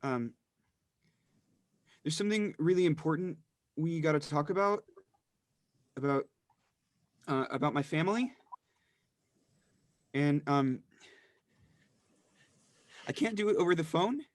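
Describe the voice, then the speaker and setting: A man playing a troubled young man in a drama reading, speaking haltingly and downcast as if on a payphone. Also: on the high side, animated, dry recording, clean studio sound. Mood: troubled, hesitant.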